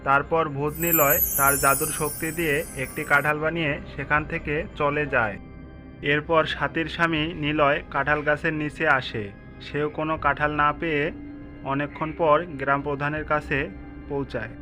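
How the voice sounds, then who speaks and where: A man's voice: fairly high pitch, level tone, moderate pace, sharp tone. A man narrating a scripted cartoon story, with music playing behind his voice.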